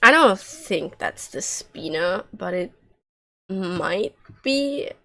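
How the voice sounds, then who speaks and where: A woman giving casual, expressive live commentary as she streams a game, reacting aloud with slangy, sweary talk. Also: medium pitch, slow, vocal fry.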